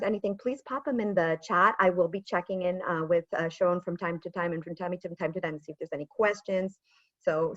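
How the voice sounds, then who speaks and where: A woman in her thirties with an American accent, coaching a webinar over a video call, chatty and animated with self-deprecating asides. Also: medium pitch, no room sound. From Israel. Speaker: pitch coach. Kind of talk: webinar presentation. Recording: dull lo-fi video call audio.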